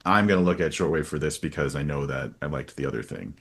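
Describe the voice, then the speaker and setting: A man's voice: extremely low pitch, fast talker, vocal fry, breathy edge. A man hosting a casual book-talk interview, speaking in an earnest, rambling and emphatic way. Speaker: podcast host interviewing a publisher.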